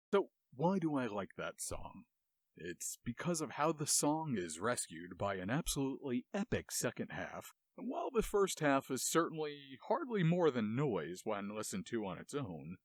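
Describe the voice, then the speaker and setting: Animated man commentating, dry close sound. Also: medium pitch, no room sound. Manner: animated, dramatic storytelling. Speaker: host of a video game music show. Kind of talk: enthusiastic analytical commentary.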